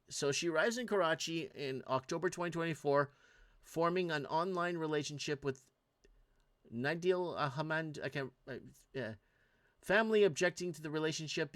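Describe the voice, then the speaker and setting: A man hosting a reaction show, commenting on viral clips in an indignant, rambling rant full of restarts. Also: on the high side. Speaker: host.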